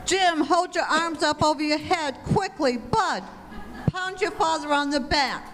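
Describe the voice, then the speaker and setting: A woman's voice: a little high, lightly acted. An actress playing a sensible, wry mother in an old-time radio comedy. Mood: urgent, scolding. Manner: brisk, wry. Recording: reverberant hall, faint noise.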